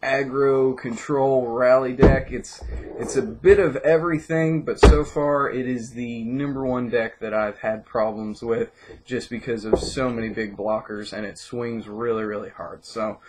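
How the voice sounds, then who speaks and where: A young man with an average-pitched voice hosts a homemade video. He speaks casually and off the cuff. The camera recording is heavily noisy. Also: steady tone, unhurried. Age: 20s. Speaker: video channel host introducing a guest. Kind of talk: casual unscripted vlog intro. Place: room at home, indoors.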